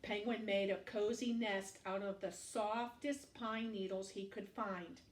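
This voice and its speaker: A woman storytime teacher reading aloud to young children in an expressive way, adding asides and questions for the listener. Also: mid-range voice, unhurried. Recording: echoey room, distant microphone.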